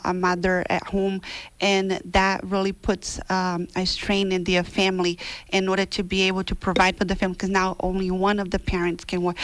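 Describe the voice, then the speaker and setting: A woman's voice: medium pitch, no room sound. A woman running for state office gives a debate opening statement, earnest and hesitant, with frequent fillers. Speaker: political candidate.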